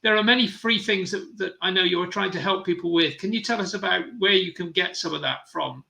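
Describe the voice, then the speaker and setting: Chatty podcast host guy, high-ish gravelly voice, bright. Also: brisk. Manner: warm, encouraging, chatty. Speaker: podcast host, business mentor. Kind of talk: podcast interview.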